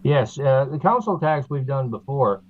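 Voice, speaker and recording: A man from Canada, a guest in an interview, explaining steadily with frequent pauses and fillers over a video call. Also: mid-range voice, animated. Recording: tinny phone-like line.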